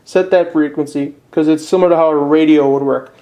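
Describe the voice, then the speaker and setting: A man giving a casual step-by-step product tutorial in a plain, unpolished, slightly halting way. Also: fairly high pitch, fairly even intonation, warm tone. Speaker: presenter of a how-to video.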